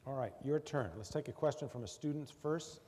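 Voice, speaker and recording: A man moderating a university Q&A, calling on askers and restating their questions in a brisk, procedural way. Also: mid-range voice, darkish tone, long reverb tail, slight background noise. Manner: brisk, procedural, with some fillers. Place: large university lecture hall.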